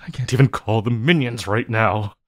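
A man playing an obsessive, lovesick supervillain in an audio roleplay, needy and melodramatic, with halting, stammering delivery. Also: fairly low pitch, dry recording, studio quality, natural voice. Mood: panicked. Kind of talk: intimate audio roleplay acting.

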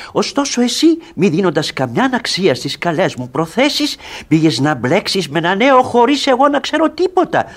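An actor playing a bewildered, mild-mannered older gentleman in a radio comedy, earnest and reasonable in his protests. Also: on the high side. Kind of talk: theatrical radio comedy acting.

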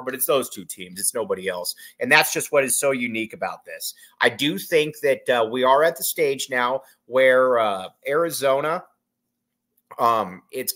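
A man's voice: mid-range voice, a bit bright. A man in his thirties hosting a solo sports podcast from a small room at home, rambling through a postgame reaction with many fillers and repeated phrases.

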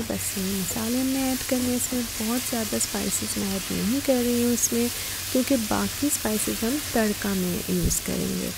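A woman with a high, slightly creaky-edged voice walks viewers through a recipe step by step. She speaks to them directly as an instructor, with clear sizzling and stirring noise behind her. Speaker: cooking show host. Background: frying, sizzling and stirring.

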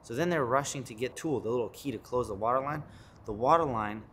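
A man's voice: medium pitch, brisk, fairly bright tone, a little hiss or hum. A man in his thirties talks straight to a close camera with an ordinary mid-range voice. He is brash and emphatic, swearing freely and hammering his points by saying them twice. It sounds like an unpolished business vlog. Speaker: landscaping business owner and vlogger. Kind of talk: blunt business advice vlog. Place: home office with studio monitors, also outdoors and in a truck.

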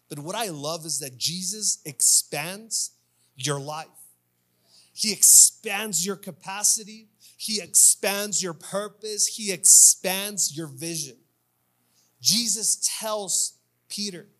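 A man's voice: a little high, pushed and bright. A man in his thirties preaching a sermon in an informal, conversational way, repeating phrases for emphasis, on a handheld mic. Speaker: pastor preaching at a church service. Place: church stage indoors. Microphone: handheld microphone.

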